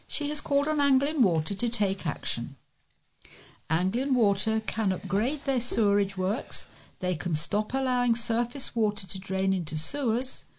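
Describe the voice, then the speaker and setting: British female volunteer newsreader, average pitch, reading local stories from a script. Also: a little mellow. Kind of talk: reading local news aloud.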